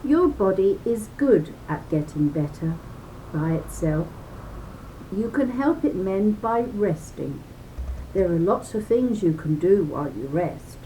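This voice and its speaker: Woman, unhurried teacherly read-aloud for kids, emphatic with chatty asides, faint rumbling noise on the recording. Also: animated, dark tone, low-quality recording. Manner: teacherly, emphatic, addresses listeners with questions. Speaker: reader of a children's book.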